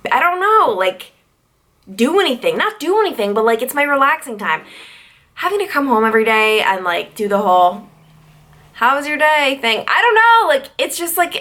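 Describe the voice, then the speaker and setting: A young woman in her twenties from Long Island, New York, chatting her way through a viewer Q&A. Her voice is bright and forward, and her pitch climbs high when she gets excited. She is loose and filler-heavy, full of "like". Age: 20s. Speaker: TV news reporter and vlogger. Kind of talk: casual Q&A vlog.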